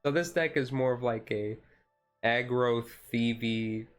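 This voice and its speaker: A man's voice: mid-range voice, unhurried, soft background music. A man livestreaming in a casual, laid-back, rambling way with informal, jokey slang.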